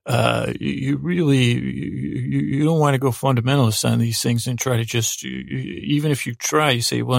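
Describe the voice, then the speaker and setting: A man's voice: mid-range voice, dry recording, studio quality. A man hosting a podcast in a rambling, informal bedtime monologue, hesitant and self-deprecating, often stammering and circling back. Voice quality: gravelly, creaky. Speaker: podcast host.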